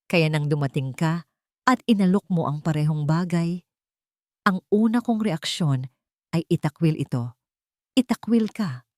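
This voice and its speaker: A woman voicing a grave, remorseful male billionaire in an audio drama, speaking in a halting, earnest way. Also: sits low, animated, a little mellow, dry recording, studio quality. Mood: confessing, pained. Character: remorseful, commanding billionaire father confessing and apologizing.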